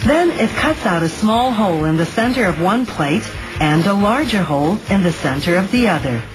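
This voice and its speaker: A woman's voice: mid-range voice, animated, normal speed, poor audio. Woman narrating a documentary over music. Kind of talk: scripted explanatory narration. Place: studio voice-over. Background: background music.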